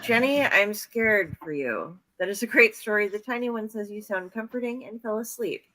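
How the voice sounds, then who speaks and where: A woman's voice: mid-range voice, expressive, normal speed, bright, forceful tone, a little hiss or hum, poor audio. A woman hosting a casual video-call chat about storytelling, rambling with fillers and restarts. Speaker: host.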